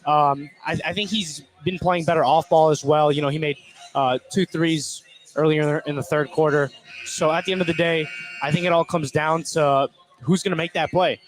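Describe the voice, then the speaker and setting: A man's voice: fairly high pitch, fairly even intonation, moderate pace, rough recording. A man giving casual color commentary on live basketball, informal and conversational in his phrasing. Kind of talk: casual sports analysis. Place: indoor gym at basketball tournament.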